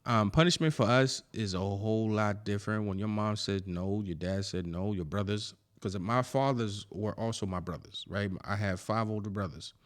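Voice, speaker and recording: A man from Haiti co-hosting a podcast, telling stories in lively casual chat and swearing freely. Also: lowish voice, moderate pace, hardly any pauses. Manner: animated storyteller, swears freely. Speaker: podcast co-host.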